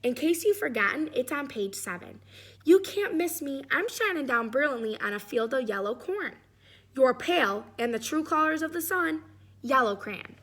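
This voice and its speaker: A woman's voice: high-pitched, expressive, moderate pace, fairly bright tone, full character voice. A woman voicing an indignant, boastful male crayon from a storybook, emphatic and self-righteous. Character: indignant boastful Yellow Crayon, insisting he is the sun's color.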